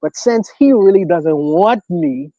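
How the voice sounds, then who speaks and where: A man with a Bahamian accent, hosting a casual livestream discussion and asking provocative questions in an animated way. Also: sits high, a little slow, no room sound. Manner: animated, provocative questions, laughs at own points. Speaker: podcast host. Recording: dry, dull lo-fi mic.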